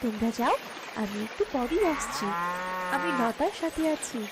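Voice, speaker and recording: A woman's voice: on the high side, lively intonation, unhurried, gravelly, very noisy. A woman voicing a headstrong, loving young daughter of a landlord in a cartoon story, speaking earnestly and insistently. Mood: playful, affectionate. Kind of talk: Bengali cartoon story acting.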